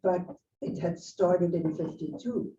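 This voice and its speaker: Dark-voiced speaker from Egypt, echoey room. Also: medium pitch, steady tone, measured pace. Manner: hesitant, searches for words with "uh". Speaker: discussion participant. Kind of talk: casual group discussion. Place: meeting room with an in-person group. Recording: echoey, distant, dull room recording with faint noise.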